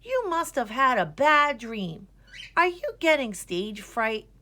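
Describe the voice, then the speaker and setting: A woman's voice: sits high, very animated, slow pace, bright, forceful tone, pitched above the performer's own voice, exaggerated voice. A woman voicing an eager, bossy young girl groundhog twin, speaking emphatically in a storybook. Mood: brisk, helpful. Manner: urgent, emphatic.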